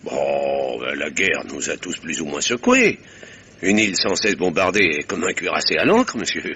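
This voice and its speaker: An actor playing an articulate, dryly witty country doctor in a vintage French radio mystery. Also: male voice, deep voice, big pitch swings, normal speed, bright, forceful tone, slightly rough, exaggerated voice. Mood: earnest, eloquent.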